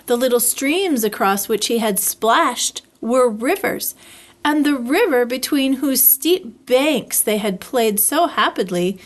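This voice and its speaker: Expressive woman reading a storybook, lively pitch. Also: slow pace. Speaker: library story reader. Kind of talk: children's storybook narration.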